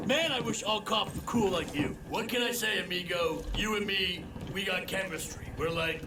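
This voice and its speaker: A man's voice: high-pitched, moderate pace, very noisy, lightly acted. A man playing a goofy, reckless pyromaniac slacker in a video game, rambling on in chummy slang. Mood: chummy, pleased. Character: goofy, reckless male pyromaniac redneck slacker with grandiose talk. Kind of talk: comic game cutscene monologue.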